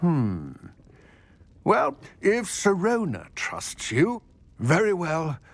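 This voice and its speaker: A man voicing a wary, secretive goblin trader in a fantasy video game, speaking in a raspy, gravelly, deliberate and guarded way. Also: fairly high pitch, huge pitch swings, no room sound, exaggerated voice. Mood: guarded, scheming.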